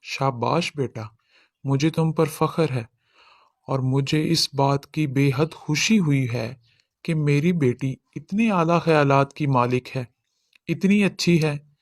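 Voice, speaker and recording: A man's voice: on the high side, takes it slowly, no echo, studio quality. A man playing a poor, loving middle-aged village father in a children's moral story, speaking earnestly. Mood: proud.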